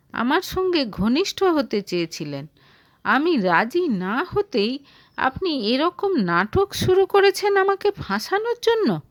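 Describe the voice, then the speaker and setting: Scornful businessman for audio romance female reader: accusing, indignant, high-pitched, highly animated. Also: no room sound.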